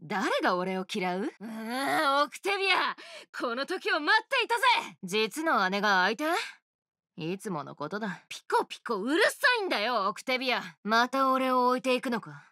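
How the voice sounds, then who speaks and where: A woman voicing a brash, swaggering female oni rock star guitarist, with rough tough-girl speech in game voice lines. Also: on the high side, very animated, normal speed, pushed and bright, no room sound, clean studio sound. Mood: cocky. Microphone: studio mic, dry.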